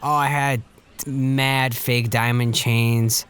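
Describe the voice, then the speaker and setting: A young man co-hosting a podcast, telling stories in relaxed, self-mocking casual chat. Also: mid-range voice, slow pace, clear, resonant tone. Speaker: podcast co-host.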